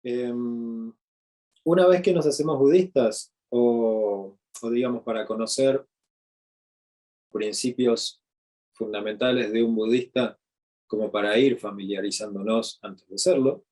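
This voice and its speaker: A man with an Argentine Spanish accent giving an unscripted talk as a meditation teacher, speaking slowly with frequent fillers and restarts. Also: mid-range voice, a little mellow.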